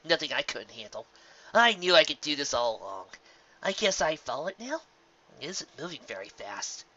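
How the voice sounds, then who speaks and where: A man's voice: fairly high pitch, big pitch swings, moderate pace, pushed and bright, dull audio, a little hiss or hum. A man voicing a brash, boastful young female pegasus pony with hidden insecurity, in a cocky, emphatic storybook manner. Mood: excited, cocky. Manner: cocky, emphatic self-pep-talk.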